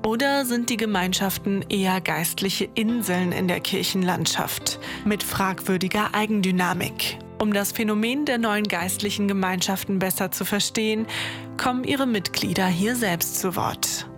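A woman's voice: medium pitch, normal speed, over music. A woman reading scripted documentary narration as the presenter.

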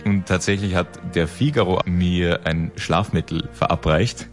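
A young opera singer from Vienna, answering interview questions in a relaxed, amused way. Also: male voice, low voice, unhurried, faint music behind. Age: young adult.

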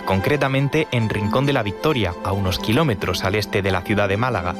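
A man with a fairly low voice reads a scripted news report at a measured, even pace. Loud music runs underneath his voice-over.

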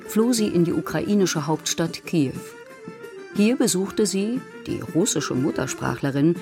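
Low-voiced woman narrating a news report. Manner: measured, matter-of-fact. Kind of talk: scripted news documentary narration. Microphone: studio voice-over.

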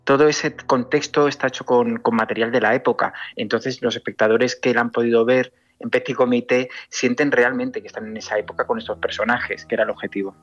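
A man's voice: medium pitch, fairly even intonation, brisk, hardly any pauses, fairly bright tone. A man from Andalusia with a Spanish accent, answering interview questions as a film director in an explanatory, emphatic way. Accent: Spain. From Andalusia, Spain. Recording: slightly dull sound, music behind. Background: music.

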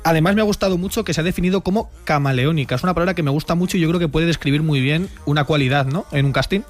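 A man's voice: fairly high pitch, very fast, soft background music. A man on a panel chat, enthusiastic in his comments.

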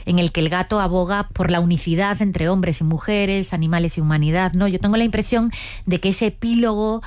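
Woman radio host, low voice, dry sound. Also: a little mellow, no room sound. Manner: measured, literary questions with frequent backchannel. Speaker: radio host interviewing an author. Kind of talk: radio interview.

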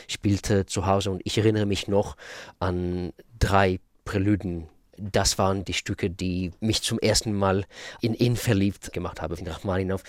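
A man in his thirties from Russia, speaking German as a pianist guest, thoughtful and pausing to find words. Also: low-pitched, no echo, studio quality. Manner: thoughtful, searching for words, many pauses. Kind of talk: radio interview.

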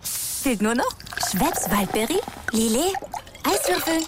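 A breezy summer-loving woman in a radio commercial. Her fairly high voice swings playfully in pitch, with music behind. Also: big pitch swings, slow pace. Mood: breezy, relishing. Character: breezy woman savouring summer in a drinks advert.

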